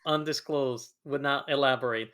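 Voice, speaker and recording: A man in his twenties chatting on a podcast, laid-back with deadpan asides. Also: mid-range voice, normal speed. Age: 20s. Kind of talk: casual chat.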